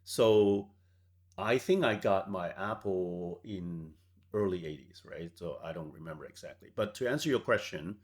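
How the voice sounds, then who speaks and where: A man in his forties who grew up in Hong Kong, a software founder telling stories in a measured way as an interview guest. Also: sits low. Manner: measured, tags points with "right?". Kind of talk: reflective storytelling interview.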